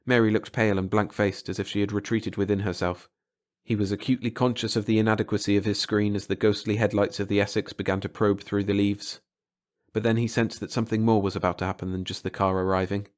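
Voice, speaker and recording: A man's voice: lowish voice, level tone, fast. A man reading a story aloud as an audiobook narrator, in a dramatic scripted style.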